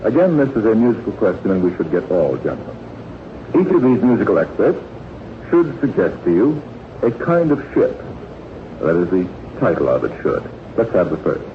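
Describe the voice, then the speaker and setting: A man's voice: lowish voice, very animated, normal speed. Witty man hosting an old radio quiz. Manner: witty, urbane, teasing. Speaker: quiz show host. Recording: muffled, noisy 1940s radio recording.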